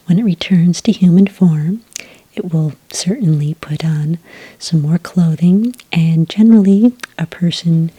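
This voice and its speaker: A woman speaking in a soft, whispery voice close to the mic, playing a polite, unhurried agent in an ASMR roleplay. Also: low voice, dry recording. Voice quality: breathy, whispery. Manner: gentle, unhurried, politely procedural. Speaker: ASMR roleplayer acting as a werewolf report agent.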